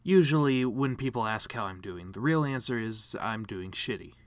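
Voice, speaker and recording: A man performing a dramatic monologue as a bitter, grieving horse giving his mother's eulogy, rambling with sardonic, self-deprecating deadpan humor. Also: mid-range voice, normal speed, no room sound, close to an everyday voice. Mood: wry, bitter.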